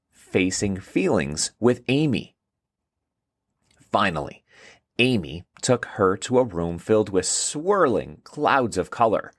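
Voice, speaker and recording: A man's voice: fairly low pitch, no room sound, studio quality. A man narrating a scripted children's story in storybook style.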